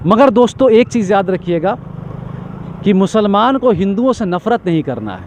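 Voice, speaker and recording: A man's voice: sits high, sing-song, normal speed, darkish tone, a little hiss or hum. A man giving an impassioned street interview, talking emphatically in long repetitive lists and stammering when agitated.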